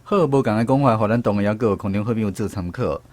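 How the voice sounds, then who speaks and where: A man's voice: fairly low pitch, fast, darkish tone, dry recording. A man with a Taiwanese accent hosting a radio language segment with short linking announcements.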